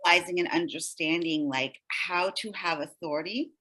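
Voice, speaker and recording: A woman's voice: fairly low pitch, moderate pace, bright, forceful tone. A middle-aged woman speaking earnestly as an interview guest, explaining things and often checking in with the listener. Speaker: podcast guest, energy healer. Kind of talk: heartfelt interview conversation.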